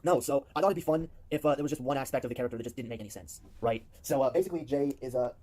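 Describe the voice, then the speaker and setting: Rapid-fire man with a put-on British accent. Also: medium pitch, dark tone. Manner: rambling, many fillers and restarts. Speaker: streamer answering viewer questions. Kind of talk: casual stream talk in character.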